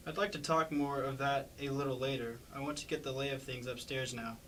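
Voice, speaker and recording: A man's voice: mid-range voice, flat delivery, fairly quick, fairly bright tone, close to an everyday voice. A man playing a condescending, sarcastic county attorney with a patronizing, probing manner in an amateur staged play reading. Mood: probing, businesslike. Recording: distant mic with faint background noise.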